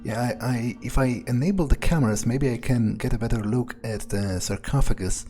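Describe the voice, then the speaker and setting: A man's voice: medium pitch, a little fast, mellow, faint music behind. A man thinking aloud in character during a game playthrough, musing and hesitant, often trailing off. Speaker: let's player speaking in character as a vampire.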